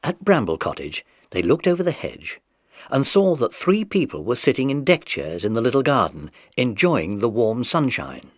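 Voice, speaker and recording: Expressive male storybook narrator. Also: medium pitch, moderate pace, dry recording, clean studio sound. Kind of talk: scripted children's story narration.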